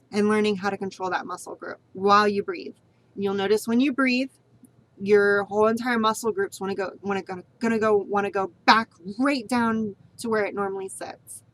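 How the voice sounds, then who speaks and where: A woman's voice: mid-range voice, no room sound. A woman giving a casual spoken tutorial on voice training, rambling and repeating herself, earnest and encouraging. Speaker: vlogger teaching voice training.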